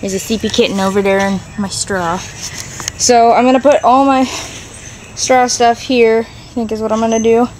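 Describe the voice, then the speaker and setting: A woman's voice: fairly high pitch, steady tone, measured pace, darkish tone, slight background noise, rough recording. A young woman filming her barn on a handheld phone, talking casually and thinking aloud with plenty of ums as she walks around. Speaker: rabbit keeper vlogger. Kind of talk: casual vlog walkthrough. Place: straw-filled barn and yard, indoors and out. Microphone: handheld phone camera.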